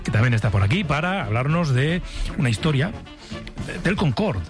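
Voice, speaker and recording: Male host, Spain accent, casual and teasing, highly animated pitch, clear music bed underneath. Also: normal speed. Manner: playful, teasing. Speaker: radio show host. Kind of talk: casual studio chat.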